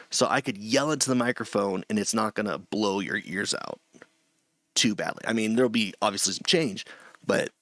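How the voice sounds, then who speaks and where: A middle-aged man with a low voice gives a loose, chatty screen-share tutorial from a small home room, often restarting his sentences. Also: gravelly, fairly bright tone, no echo. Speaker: streamer teaching audio setup.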